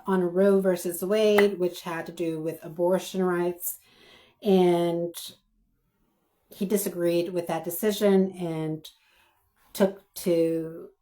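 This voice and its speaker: A hoarse woman hosting her own commentary channel, speaking to her viewers in a halting, thoughtful way with many pauses and restarts. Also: lowish voice, warm tone. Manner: addresses viewers directly. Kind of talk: spoken video commentary.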